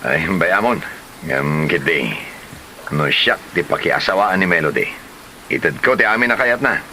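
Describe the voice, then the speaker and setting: A man's voice: deep voice, measured pace, full character voice. A man acting as a boastful gambler suitor in a homemade comedy drama. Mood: boastful, wooing. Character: boastful gambler suitor promising to provide everything. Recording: noisy, steady hiss behind.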